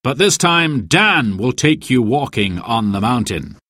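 A man's voice: medium pitch, big pitch swings, unhurried, pushed and bright, no echo, studio quality, heavily acted. A man doing a kindly hostel owner.

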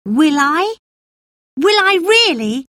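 Lady doing a sickly little boy, all high and eager, slow and swoopy. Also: no echo, studio quality, exaggerated voice. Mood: anxious. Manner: high, excited and pleading. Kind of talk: storybook character voice.

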